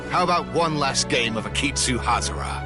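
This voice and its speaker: A man's voice: mid-range voice, expressive, a little fast, over loud music, lightly acted. A man voicing an ageless male yokai greeting an old friend. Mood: friendly.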